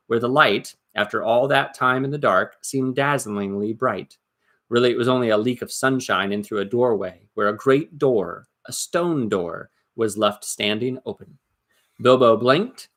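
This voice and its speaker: A man reading a story aloud as dramatic narration, expressive and suspenseful, with deliberate emphasis. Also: mid-range voice. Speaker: storybook reader.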